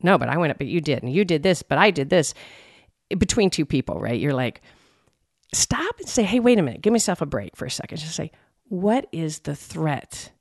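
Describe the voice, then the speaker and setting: Intense, gravelly-voiced woman. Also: low-pitched, brisk, dry recording, studio quality. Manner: intense, emphatic voice that rises when animated. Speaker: podcast co-host. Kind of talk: conversational podcast discussion.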